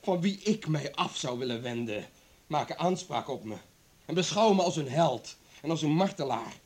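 Husky, wounded, swooping outcast doctor. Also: male voice, fairly high pitch, expressive, moderate pace, darkish tone. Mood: anguished, bitter. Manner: impassioned, aggrieved. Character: proud, wounded male doctor, a lonely outcast ex-convict. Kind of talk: Dutch radio play acting.

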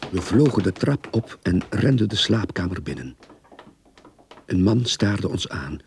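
A man acting a terse detective who narrates his own case in a radio drama, in a gravelly, husky voice. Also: low-pitched, expressive, measured pace, background noise, exaggerated voice. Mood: grim, matter-of-fact.

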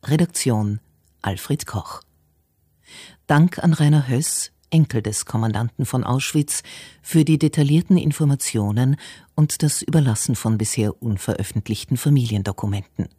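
A woman makes formal radio announcements in a factual, scripted style. The voice is mellow and somewhat husky, recorded very dry and close. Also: extremely low pitch, normal speed, dry recording. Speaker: announcer of title and credits.

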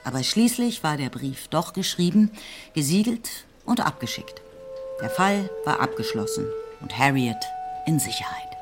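An actress narrating a classic novel for radio drama, composed and lightly ironic. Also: female voice, low voice, sing-song, normal speed, over music. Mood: composed, wry. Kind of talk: scripted literary narration.

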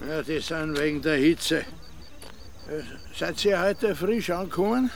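An actor in a radio drama playing a grumbly, laconic former farmer who talks in terse, clipped phrases. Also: male voice, a little high, unhurried, vocal fry, a little hiss or hum. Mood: dry, resigned.

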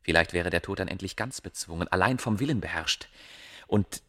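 An ambitious scholar of the ancient world in a radio drama. His voice is very low, and his delivery is measured and brooding, with formal diction and a proud, inward temper. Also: sharp tone. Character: proud, secretive male scholar of ancient Egypt, ambitious, curious. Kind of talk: radio play acting.

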